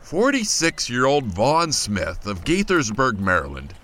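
A man narrates a news feature story. His pitch moves around a lot, and his delivery stays scripted and story-like. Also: wildly swooping pitch, a little slow, noisy background. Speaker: news correspondent.

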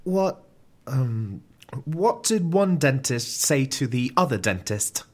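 An actor playing a dejected, anxious famous comedian, hesitant and full of self-doubt, in a comedy audio drama. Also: male voice, mid-range voice, big pitch swings, takes it slowly, a little mellow. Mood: reluctant, nervous. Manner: hesitant, sheepish.

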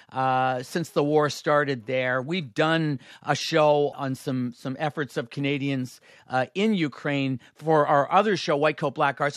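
A man's voice: on the high side, fairly bright tone, dry recording, clean studio sound. A man hosting an interview, speaking in a friendly, conversational way. He is also an emergency physician. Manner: friendly, conversational, self-deprecating.

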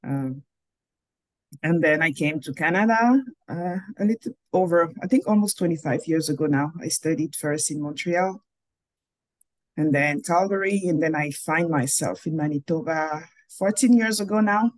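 A woman's voice: low voice, moderate pace, no echo, poor audio. A woman in her forties from Cameroon, a filmmaker answering interview questions over a video call, thoughtful and often rephrasing mid-sentence. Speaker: filmmaker guest.

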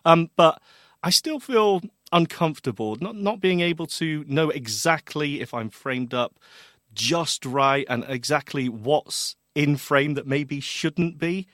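A man's voice: a little high, slow, a bit bright, no room sound, studio quality. A man co-hosting a tech podcast in casual chat, chatty and laughing often, drifting into tangents. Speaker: podcast co-host.

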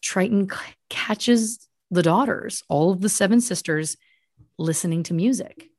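A woman's voice: mid-range voice, measured pace, a little mellow, dry recording. A woman hosting a podcast, retelling a story as mock-serious true crime in an emphatic, conversational way with asides. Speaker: podcast host telling a story.

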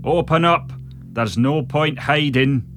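A man playing a gruff, menacing, demanding big man with a raspy voice and a Scottish accent. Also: a little high, takes it slowly, fairly bright tone, soft background music, pitched above the performer's own voice. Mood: demanding, shouting. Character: gruff, looming male visitor, menacing and demanding.

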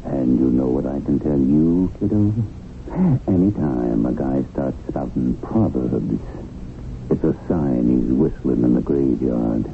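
A man playing a smug, streetwise devilish tempter in a 1970s radio drama, slangy and taunting, with a gravelly voice. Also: extremely low pitch, measured pace, faint music behind, exaggerated voice. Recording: muffled, noisy 1970s radio recording.

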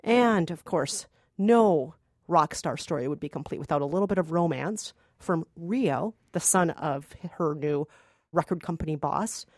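Lively, animated woman podcast host. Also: low voice, unhurried, no room sound, studio quality. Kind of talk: lively film review chat.

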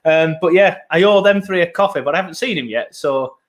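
A man's voice: fairly high pitch, brisk. A man with a British accent hosting a podcast in a casual, rambling, matey way. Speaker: podcast host. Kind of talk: casual chat.

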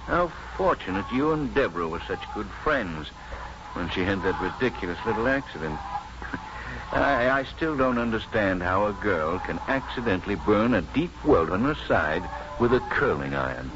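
An actor playing a courteous, modest middle-aged father who chats gently in a 1950s radio drama. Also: on the low side, expressive, moderate pace, close to an everyday voice. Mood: genial. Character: genial, courteous, modest father of grown daughter. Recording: muffled, noisy 1950s radio recording.

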